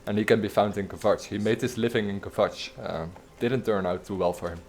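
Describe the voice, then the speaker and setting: A man from the Netherlands, chatting casually and haltingly while livestreaming a game. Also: on the low side, slight background noise. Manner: relaxed, halting, many fillers. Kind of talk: casual gameplay commentary.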